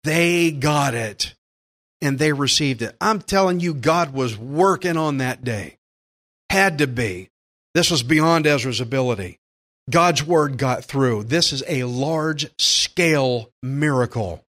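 A man's voice: medium pitch, expressive, takes it slowly, a bit bright. A man preaching a conversational Bible-teaching sermon as a pastor, plainspoken and emphatic, repeating phrases for stress.

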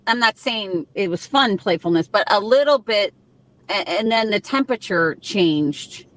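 A woman's voice: mid-range voice, animated, measured pace, fairly bright tone, no echo. A woman speaking as a guest on a radio panel, in a conversational way with many fillers. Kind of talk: casual radio discussion.

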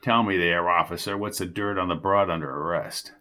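A man voicing a gravelly, chain-smoking older male newspaper reporter who needles everyone in hard-boiled slang. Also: low-pitched, fairly even intonation, fairly quick, pushed and bright, pitched below the performer's own voice. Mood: needling. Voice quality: raspy, gravelly.

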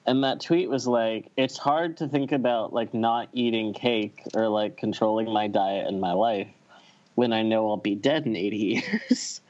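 A man's voice: on the low side, measured pace, darkish tone. A man co-hosting a podcast, talking in a slangy, wry way in casual chat with friends. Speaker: podcast co-host. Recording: slightly dull, lo-fi microphone sound.

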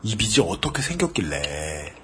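Thoughtful man with an expressive voice. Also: deep voice, moderate pace, slight background noise. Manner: reflective, argues points of principle in long sentences. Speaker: host. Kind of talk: casual podcast chat.

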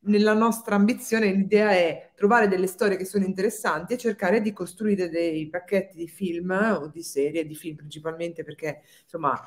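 A woman's voice: on the low side, steady tone, normal speed, few pauses. A woman speaking as a guest film producer in a casual live-stream interview, explaining and correcting herself as she goes.

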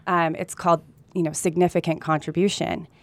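A woman's voice: lowish voice, level tone, brisk. A woman from California talking earnestly and candidly as a guest in a conversational interview. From United States (California), also lived in Colombia. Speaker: interview guest, real estate agent. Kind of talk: conversational interview, personal anecdotes.

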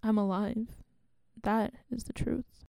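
A woman acting in a radio play as a guarded genocide survivor and refugee, speaking in a measured, grave and earnest way. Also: a little high, fairly even intonation, creaky, warm tone, clean tone, no echo, clean studio sound. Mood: guarded, resigned.

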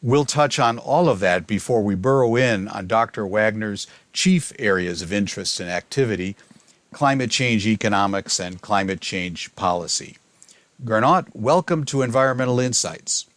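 A middle-aged man with a fairly low voice hosts an academic podcast. He opens with a measured, scripted introduction and then moves into easy conversational questions with his guest. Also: expressive, a bit bright, no room sound. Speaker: podcast host, professor. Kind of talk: formal podcast interview.

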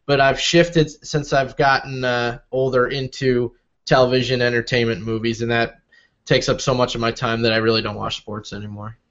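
Brief: thirtysomething male guest, easygoing measured talk, mid pitch, muffled recording. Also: level tone, a bit bright. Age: 30s. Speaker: interview guest. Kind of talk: casual interview talk.

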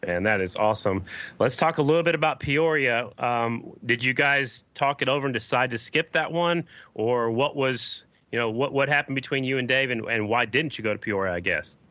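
A man's voice: mid-range voice, moderate pace, sharp tone, dry recording. A man hosting a podcast, asking questions in a friendly, chatty way. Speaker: podcast host. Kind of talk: casual interview.

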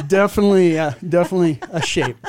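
A man's voice: high voice, quick pace, a little hiss or hum. A man hosting a casual chat show, talking with rambling enthusiasm and frequent restarts. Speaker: podcast host.

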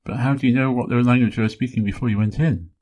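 A man from England hosting a podcast, explaining points one by one in a measured, explanatory way. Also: mid-range voice, steady tone, darkish tone. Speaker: podcast host. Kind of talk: solo educational podcast talk.

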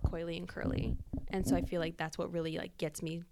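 A woman's voice: on the low side, little pitch movement, a little fast, creaky, very noisy. A young woman with an American accent, candidly sharing personal experience as a podcast guest.

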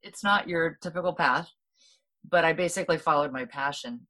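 A middle-aged woman from Hawaii, a guest in an interview, explaining her background thoughtfully with many pauses and restarts. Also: low-pitched, pushed and bright. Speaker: guest, food policy founder. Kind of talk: interview storytelling.

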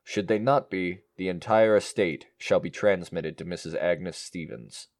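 An actor playing an unflappable male attorney in an audio drama, speaking in a measured, officious way. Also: deep voice, dry recording, studio quality. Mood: formal, declarative. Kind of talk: audio drama acting, reading a will.

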